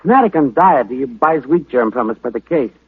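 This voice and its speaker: A man's voice: mid-range voice, animated, a little fast, a little hiss or hum, lightly acted. A man acting an eager, deferential health club attendant in old radio style. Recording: thin, muffled vintage radio recording.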